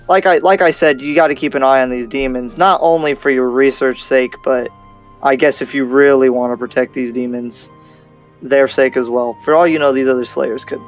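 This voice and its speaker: A level-headed demon slayer played by a man in an anime game roleplay, with a medium-pitched voice. He is frank and conversational, reasoning things out loosely over background music. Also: close to an everyday voice. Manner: casual, conversational, hedging as he reasons. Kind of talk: improvised anime Minecraft roleplay.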